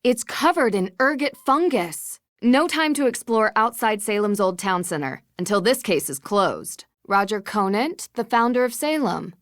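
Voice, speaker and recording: An actress playing a young female amateur detective, musing aloud in a measured, observant way for a video game. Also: medium pitch, animated, no echo, studio quality, close to an everyday voice. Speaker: game protagonist. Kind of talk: scripted game voice lines, thinking aloud.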